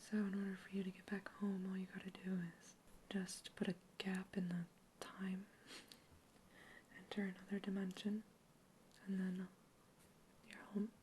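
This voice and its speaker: A woman whispering in an ASMR roleplay as a polite human researcher questioning a captive alien, gently curious and reassuring. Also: medium pitch, monotone, unhurried, slight background noise, close to an everyday voice. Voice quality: soft whisper throughout.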